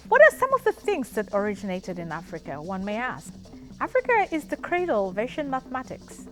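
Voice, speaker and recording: A woman with a Ugandan accent presents in an educational style. Her voice sits on the high side and moves through a very animated range of pitch. She explains things in a measured, emphatic way, with faint music underneath. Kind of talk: educational narration.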